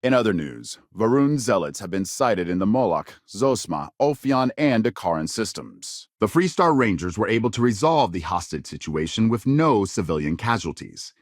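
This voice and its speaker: A man playing a formal, authoritative news anchor in a science fiction game, delivering scripted reports with measured, dramatic emphasis. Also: on the low side, very animated, no room sound, clean studio sound. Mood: grave, polished. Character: polished, authoritative male news anchor of a spacefaring future. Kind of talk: scripted news broadcast narration.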